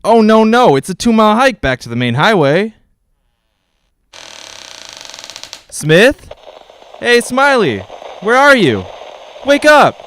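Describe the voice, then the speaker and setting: Man playing a folksy salesman, very animated. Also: sits high, a little slow, a little hiss or hum, full character voice. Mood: puzzled, uneasy. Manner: folksy, persuasive salesman patter. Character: folksy, fast-talking, eager male real estate agent. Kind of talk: 1940s radio drama acting.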